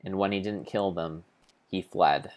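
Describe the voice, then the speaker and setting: A man giving a grave, theatrical, storybook-style narration of video game play, in deliberate phrases with pauses. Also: low voice, a little mellow. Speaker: streamer narrating his gameplay.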